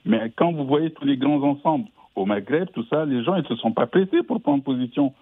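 A male caller speaks over a tinny phone line, dry and a little husky. His pitch jumps around a lot as he argues his point. He often stops and restarts his sentences. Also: dry recording. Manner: animated, halting, many restarts. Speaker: radio caller. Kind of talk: phone-in opinion.

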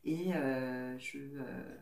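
A woman in her twenties, a podcast guest, introducing herself haltingly with many fillers. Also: rumbling low, fairly even intonation, gravelly, a little mellow. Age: 20s. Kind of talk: casual chat among friends. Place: living room, around a coffee table. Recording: roomy sound from a distant mic.

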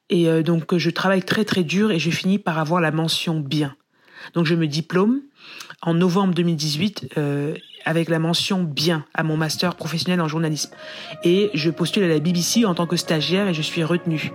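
A woman from France, a podcast guest and author, tells her career story in conversation as an animated storyteller who often pauses and restarts her sentences. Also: low voice, breathy edge, soft background music.

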